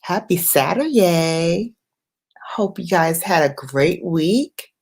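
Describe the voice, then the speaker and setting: A woman hosting an impromptu late-night livestream, speaking in an unhurried, reflective way in short, paused phrases. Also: on the low side, lively intonation, vocal fry, a little mellow, slightly rough. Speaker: livestream host.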